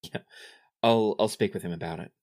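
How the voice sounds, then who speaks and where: A man's voice: on the low side, brisk, a little mellow, close to an everyday voice. A man voicing a helpful, earnest male organizer in a casual, slightly tentative way. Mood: agreeable.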